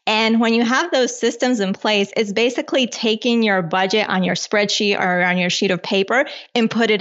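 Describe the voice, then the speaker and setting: A woman from Colombia and the United States chatting casually as an interview guest, halting as she thinks aloud. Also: medium pitch, fairly bright tone. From born in Colombia, moved to the United States at eight. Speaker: podcast guest, personal finance author. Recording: slightly dull, lo-fi sound.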